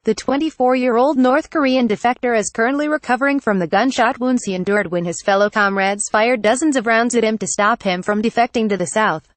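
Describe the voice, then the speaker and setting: A woman's voice: on the high side, a little fast, hardly any pauses, dry recording. A woman reading a scripted news report aloud as a narrator.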